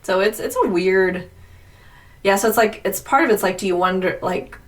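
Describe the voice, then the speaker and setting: A woman's voice: medium pitch, moderate pace. A woman co-hosting a paranormal podcast, chatting and telling stories casually in a rambling way peppered with filler "like". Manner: rambling, heavy use of "like". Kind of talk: casual chat and storytelling. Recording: distant mic with background noise.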